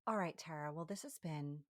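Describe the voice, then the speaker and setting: A woman's voice: sits low, dry recording, studio quality. A woman hosting a podcast, friendly and upbeat, whose first language was Italian. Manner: friendly, upbeat, quick to laugh. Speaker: podcast host. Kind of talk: casual interview hosting.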